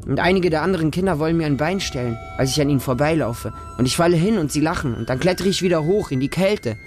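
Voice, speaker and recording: A man's voice: fairly high pitch, lively intonation, a little mellow, faint music behind, natural voice. Lonely, naive homeless boy, voiced by a man. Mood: hurt, fleeing. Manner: plain, short childlike sentences, deliberate. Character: lonely, naive small homeless boy telling his story. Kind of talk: radio drama first-person narration.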